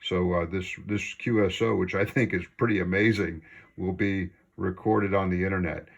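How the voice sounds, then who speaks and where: Low-voiced man chatting on ham radio. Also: fairly even intonation, normal speed, gravelly. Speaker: amateur radio operator, blogger. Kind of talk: casual ham radio contact chat.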